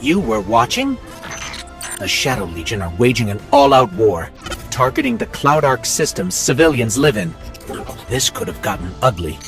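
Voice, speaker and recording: Game casting: earnest little robot sidekick, chatty, witty, mid-pitched with very lively intonation. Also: male voice, moderate pace, soft background music. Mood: alert. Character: small earnest, witty robotic companion, male. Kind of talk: video game companion dialogue.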